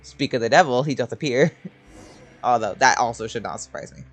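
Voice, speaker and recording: Deep-voiced woman reacting to a game. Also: animated, normal speed. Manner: informal, amused, swearing. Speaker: game streamer. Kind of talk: casual reaction commentary.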